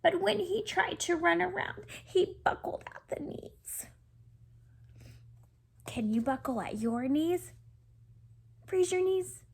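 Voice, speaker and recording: A woman with a high, creaky voice reads a picture book to children. She goes slowly and expressively, with hushed asides to her young listeners. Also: expressive. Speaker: children's storyteller.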